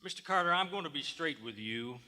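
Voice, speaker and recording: A man playing a gruff, suspicious sheriff with a folksy, badgering manner in a live radio drama. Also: fairly high pitch, animated, brisk, pushed and bright. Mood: probing. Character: gruff, suspicious, hard-nosed Southern sheriff in his office. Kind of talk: 1940s radio drama acting. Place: large theater stage, live performance. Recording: live theater stage, long hall reverb.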